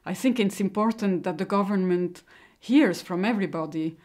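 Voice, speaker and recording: A middle-aged woman who grew up in Italy, giving a personal account in a hesitant, halting way. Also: mid-range voice, a little mellow. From born in Mexico, grew up in Italy. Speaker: interviewee giving personal testimony.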